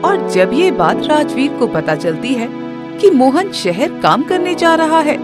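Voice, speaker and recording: A woman tells a cartoon moral story in an expressive storytelling voice. Her pitch rises and falls a great deal as she builds suspense. Background music is clearly heard under her. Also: unhurried. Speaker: narrator. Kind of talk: storybook narration.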